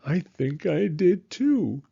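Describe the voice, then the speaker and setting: Man acting as bumbling sneak thief, storybook villain, quavering, jittery, raised swooping pitch, dull sound. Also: sing-song, takes it slowly, creaky, warm tone, husky, full character voice. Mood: spooked. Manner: quavering, dopey.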